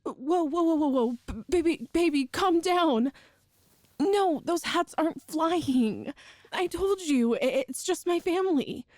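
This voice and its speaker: A very high, unhurried young woman's voice performed by an actress, recorded close and dry. She is a tender, nervous girlfriend in an intimate ASMR roleplay, halting and earnest as she explains herself. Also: lively intonation, a little mellow, dry recording. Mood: soothing. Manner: hesitant, halting, earnest, breathy sighs and nervous laughs.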